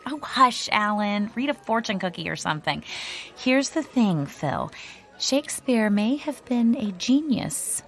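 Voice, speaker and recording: A woman's voice: on the high side, sing-song, unhurried, faint music behind. A woman playing Diane, a chatty theater crew member who explains magic lore with relish. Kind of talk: radio drama acting.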